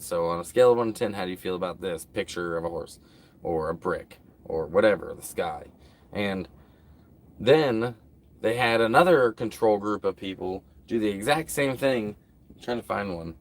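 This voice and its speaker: A man's voice: fairly low pitch, slight background noise. A man hosting a casual livestream, rambling to his viewers with lots of fillers. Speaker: livestream host.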